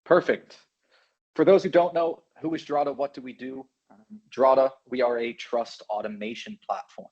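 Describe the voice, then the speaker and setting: A man's voice: mid-range voice, moderate pace, darkish tone. A man from Belgium with an American-sounding accent, giving an upbeat, chatty product presentation. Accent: American-sounding English. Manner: upbeat, chatty, self-deprecating. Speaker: channel business manager presenting a product. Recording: dull lo-fi sound.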